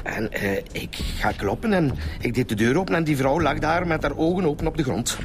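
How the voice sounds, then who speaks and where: Casting: man to play flustered, self-pitying Flemish hotel proprietor for radio drama, stammering, highly expressive intonation. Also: brisk, music in the background, lightly acted. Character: flustered, fussy male keeper of a seedy hotel. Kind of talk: naturalistic radio drama acting.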